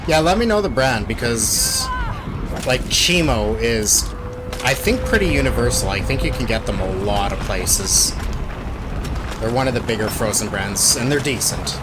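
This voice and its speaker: A man's voice: medium pitch, lively intonation, moderate pace, very noisy. A Canadian man chatting casually and bluntly as a game streamer, with some swearing. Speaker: speedrun streamer. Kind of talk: casual chat while gaming. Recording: game soundtrack under the voice. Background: loud game music and effects.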